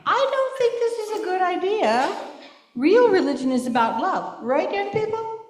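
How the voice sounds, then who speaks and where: A woman voicing a sweet, gentle, earnest female puppet who pleads with children in a live puppet show. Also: very high voice, very animated, normal speed, faint music behind. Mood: worried. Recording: echoey church hall, distant mic.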